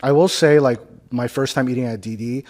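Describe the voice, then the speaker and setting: Man, podcast host, casual, teasing, mid pitch. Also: fairly even intonation, brisk, a little mellow. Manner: laid-back, joking, lots of "like" and backchannels. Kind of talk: casual interview chat.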